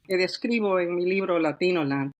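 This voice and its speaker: A woman's voice: lowish voice, normal speed. Reflective Peruvian woman author, older. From Peru. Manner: thoughtful, reflective, with frequent hesitations. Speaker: author guest. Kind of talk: podcast interview.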